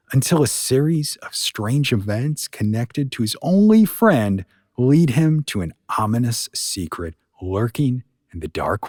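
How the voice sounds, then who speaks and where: A man's voice: medium pitch, darkish tone, dry recording, studio quality. A man hosting a podcast, introducing a show in an informal, folksy, conversational way. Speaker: podcast host.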